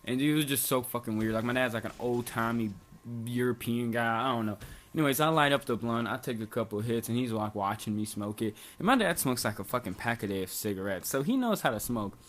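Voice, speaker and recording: A man's voice: mid-range voice, lively intonation, quick pace, fairly bright tone. Rambling, swearing young man telling a story. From Bosnia. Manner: rambling, profane, lots of "like". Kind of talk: casual storytime over gameplay.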